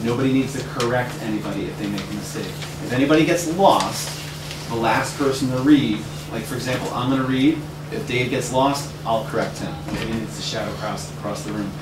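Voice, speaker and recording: A man leading a group, giving informal, directive instructions and repeating himself to be clear. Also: medium pitch, brisk. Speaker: reading group leader, teacher. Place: indoor room with a group. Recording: echoey room, far mic, noisy hum.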